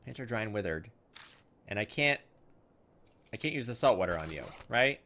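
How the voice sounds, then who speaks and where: A man's voice: fairly low pitch, lively intonation, normal speed, pushed and bright, a little hiss or hum. A man hosting a gaming video, reading on-screen story text aloud dramatically and breaking in with playful asides. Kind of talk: let's play commentary, reading game text aloud.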